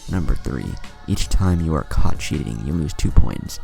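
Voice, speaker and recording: A man's voice: a bass voice, animated, normal speed, gravelly, music in the background, exaggerated voice. A man voicing a stern, commanding male exam proctor in a narrated story, curt and authoritative.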